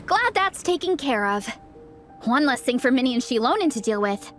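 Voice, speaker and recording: An energetic young female athlete in a video game cutscene. The voice is high and very animated, friendly and encouraging. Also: moderate pace, soft background music. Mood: relaxed upbeat. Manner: upbeat, encouraging.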